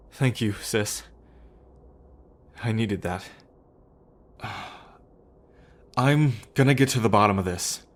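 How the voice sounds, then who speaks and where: A man's voice: on the low side, very slow, pauses often. A man acting a remorseful, affectionate brother in an ASMR roleplay, with a hesitant, heartfelt delivery. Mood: guilty, distressed.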